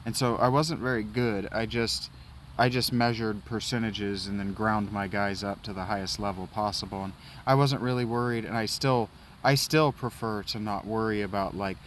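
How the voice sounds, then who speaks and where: A man's voice: on the low side, fairly even intonation, moderate pace, a little hiss or hum. A man hosting a let's play, chatting casually and self-deprecatingly about the game as he plays. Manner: rambling, self-deprecating, quiet aside. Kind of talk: casual gameplay commentary.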